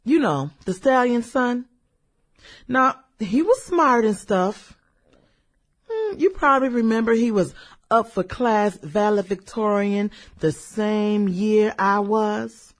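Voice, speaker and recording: Lady doing a bitter brooding woman in a radio drama, slow n husky, hums between thoughts, voice swings around. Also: medium pitch, lively intonation. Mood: cold, smug. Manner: musing, hesitant, chuckles and hums between thoughts. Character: brooding, vengeful woman reminiscing over dead high school classmates. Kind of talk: radio drama acting, conversational monologue.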